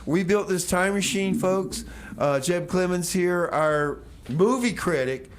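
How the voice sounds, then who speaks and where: A man's voice: sits high, expressive, takes it slowly, slight background noise. A man hosting a radio show with casual, rambling banter, often repeating himself as he announces songs and chats. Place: radio studio.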